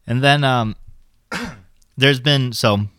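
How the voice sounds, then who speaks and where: A man's voice: mid-range voice, extremely slow, fairly bright tone, smooth, no echo. A young man co-hosting a podcast, telling stories in a laid-back, self-deprecating way and laughing often. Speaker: podcast co-host. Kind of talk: casual chat.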